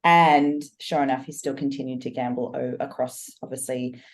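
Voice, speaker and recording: A woman's voice: rumbling low, steady tone, normal speed. A woman, a business coach, telling her life story as a guest in a podcast interview, earnest and reflective. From Australia. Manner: earnest, reflective, talks in long flowing stories.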